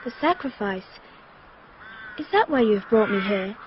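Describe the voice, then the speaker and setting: A woman's voice: medium pitch, animated, moderate pace, close to an everyday voice. An actress playing an ethereal, trusting young British girl in a radio drama, wide-eyed and earnest. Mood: dreamy, uneasy. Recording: muffled radio recording with hiss.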